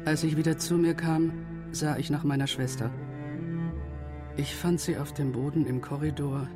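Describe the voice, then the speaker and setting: A man's voice: steady tone, moderate pace, close to an everyday voice. A man acting as a sombre survivor, narrating his account in a radio drama. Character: brother recounting rescue of injured sister, sombre. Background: loud low string music.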